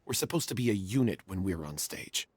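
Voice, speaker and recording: A guilt-stricken husky young actor. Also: male voice, low voice, animated, a little fast, no echo. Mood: guilty, hesitant. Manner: hesitant confession breaking into anguish. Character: guilt-ridden young male stage actor. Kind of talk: game voice acting.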